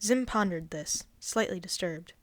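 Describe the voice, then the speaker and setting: A woman narrating a written story in a scripted, expressive reading style. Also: on the low side, a little slow. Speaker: fan fiction reader.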